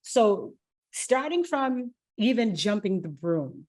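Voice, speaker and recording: Emphatic woman podcast host, mid-pitched. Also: sing-song, takes it slowly, darkish tone. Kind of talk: conversational interviewing.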